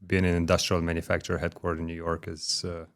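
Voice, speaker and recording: A middle-aged man from Ukraine with a Ukrainian accent, speaking as an interview guest in a measured way with frequent pauses and fillers, recorded in a corporate headquarters office. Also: low voice, monotone. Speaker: guest, corporate development executive. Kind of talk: conversational interview.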